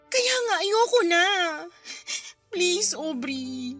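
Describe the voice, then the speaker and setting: A woman's voice: very high-pitched, sing-song, extremely slow, a bit bright, faint music behind, full character voice. An actress with a Filipino accent playing a weary, guilt-ridden poor mother in a radio drama, speaking with emphatic, emotional pleading. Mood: remorseful, pleading. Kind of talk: Tagalog radio drama acting.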